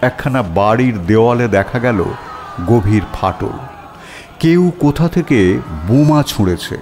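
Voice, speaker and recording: A male actor voices a detective's companion telling a suspense story. His medium-pitched voice narrates in a dramatic storytelling style, with soft music behind it. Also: animated, measured pace, lightly acted. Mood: suspenseful.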